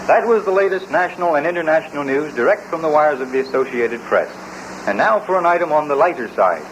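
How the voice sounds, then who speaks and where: A man's voice: on the high side, animated, fast talker, slight background noise, low-quality recording. A man reading as a radio announcer in vintage news style, on an old broadcast recording.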